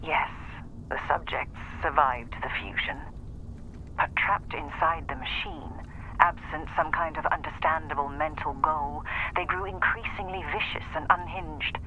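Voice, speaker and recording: A man's voice: fairly high pitch, a little slow, sharp tone, dull audio, slight background noise. A man voicing a guilt-ridden scientist who solemnly confesses in a recorded log in a video game.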